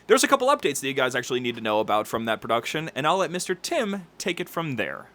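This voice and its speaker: A man's voice: mid-range voice, very animated, quick pace, pushed and bright, a little hiss or hum. A man hosting a channel update in a casual, effusive, slangy way. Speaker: channel host. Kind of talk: casual enthusiastic video update. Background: background music.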